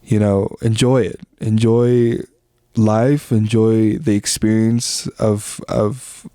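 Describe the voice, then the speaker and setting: A young man from the Philippines hosting a podcast, talking in a relaxed, rambling way with lots of fillers and slang. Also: fairly low pitch, takes it slowly, clear, resonant tone, no room sound, studio quality. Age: young adult. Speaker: podcast host. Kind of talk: casual reflective monologue.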